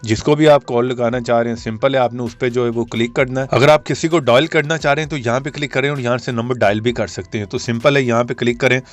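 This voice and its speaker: A man's voice: medium pitch, fairly even intonation, fast talker, few pauses, muffled recording. A man hosting a tech tips video, walking listeners through steps in a casual, instructional way and often checking that they follow. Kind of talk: app tutorial narration in casual spoken manner.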